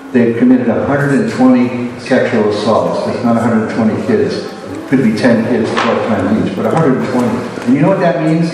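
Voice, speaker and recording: A man's voice: mid-range voice, darkish tone, slight background noise. A man who founded an advocacy group gives an emphatic speech to a live audience, repeating his key points. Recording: far from the mic in an echoey hall with a crowd.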